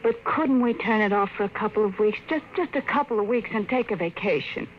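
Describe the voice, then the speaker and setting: A woman's voice: mid-range voice, a little fast, close to an everyday voice. An actress playing an anxious, pleading wife and mother in 1950s radio drama style. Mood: wistful. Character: frightened restless housewife and mother. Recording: dull old radio broadcast with faint hiss.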